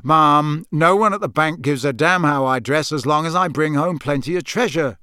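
A man's voice: fairly high pitch, moderate pace, sharp tone, clean tone, no room sound, studio quality. A man reading an audiobook, voicing a patient, easygoing young man.

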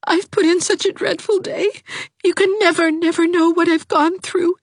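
Woman reader doing timid tearful young woman, whimpering, stammering, very high thin voice, dry close sound. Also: fairly even intonation, normal speed, dry recording.

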